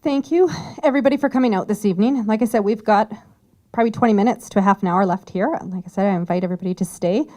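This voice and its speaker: A woman's voice: medium pitch, rapid-fire, dark tone. A woman moderating a public forum, making friendly, informal closing announcements. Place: community hall.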